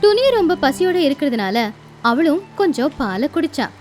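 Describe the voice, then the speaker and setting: A woman's voice: sits high, very animated, moderate pace, a little mellow, faint music behind. A woman narrating a scripted children's moral story.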